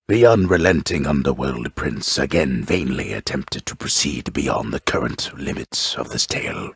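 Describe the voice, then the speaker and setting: Game narrator: grandiose underworld storyteller, extremely low and heavily husky, solemn with dry wit, measured delivery. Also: male voice, fairly bright tone, no echo, exaggerated voice. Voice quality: gravelly, husky. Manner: solemn, ornate, measured, drily wry. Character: grandiose, wry old-world male storyteller of the underworld. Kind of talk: dramatic scripted fantasy narration. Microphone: close studio microphone.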